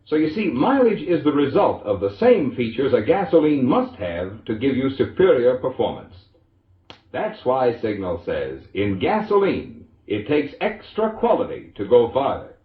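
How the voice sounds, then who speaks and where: An echoey, dull-sounding vintage radio recording carries an American man announcing a commercial in 1940s style. His pitch moves in lively swings as he delivers polished, emphatic scripted sales copy. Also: normal speed. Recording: vintage radio recording, roomy echo, muffled lo-fi.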